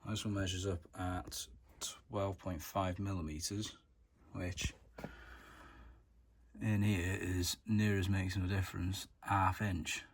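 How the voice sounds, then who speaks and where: Male DIY mechanic vlogger, low and monotone, informal step-by-step delivery, noticeable background noise. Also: slow pace. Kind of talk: casual hands-on tutorial narration.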